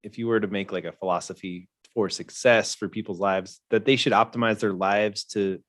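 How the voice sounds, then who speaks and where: A man's voice: fairly low pitch, steady tone, moderate pace, a bit bright, no echo. A man hosting an interview show, asking curious, friendly questions and often affirming his guest. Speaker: podcast host.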